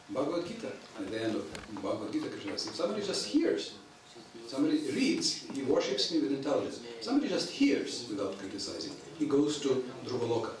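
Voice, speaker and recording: Non-native English-speaking man preaching, lively pitch, halting delivery, far from the mic in a reverberant temple hall with an audience. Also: crowd noise. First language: not English. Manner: halting, with frequent throat clearing and fillers. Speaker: visiting preacher. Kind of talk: devotional lecture.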